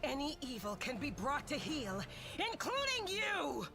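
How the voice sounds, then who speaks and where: Woman playing a high-voiced, defiant betrayed heroine. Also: big pitch swings, a little slow, full character voice. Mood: betrayed defiance. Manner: dramatic, resolute, accusing. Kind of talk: fantasy game voice acting. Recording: music behind the voice.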